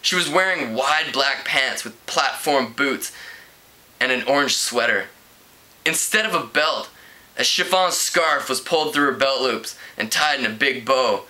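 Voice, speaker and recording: A man reading a teen novel aloud in an animated way, now and then stumbling over words. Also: medium pitch, a little slow, poor audio. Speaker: amateur book reader.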